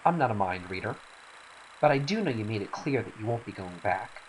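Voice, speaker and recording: A man's voice: fairly low pitch, big pitch swings, fairly quick, poor audio. A man voicing a stern, pragmatic woman in a dramatic game scene, firm and admonishing in manner. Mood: stern, matter-of-fact. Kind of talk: dramatic reading of game cutscene dialogue. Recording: faint hiss.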